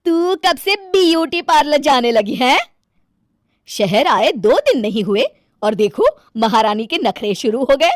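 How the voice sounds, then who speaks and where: Woman playing an animated, high-voiced middle-aged mother-in-law. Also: measured pace, no room sound, clean studio sound. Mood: indignant. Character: middle-aged city mother-in-law, affectionate but easily exasperated. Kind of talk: Hindi animated moral story acting.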